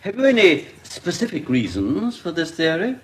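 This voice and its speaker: A man playing a courteous, formal English coroner presiding over an inquest in a radio drama, with measured courtroom diction. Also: fairly high pitch, wildly swooping pitch. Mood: courteous, probing.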